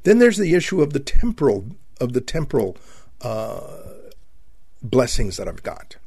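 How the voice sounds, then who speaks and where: Husky seventy-year-old radio host. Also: male voice, medium pitch, big pitch swings, darkish tone, no room sound, studio quality. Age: 70s. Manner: halting, with many fillers and repeated words; folksy, addresses listeners as my friends. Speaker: radio talk show host. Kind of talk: conservative radio monologue.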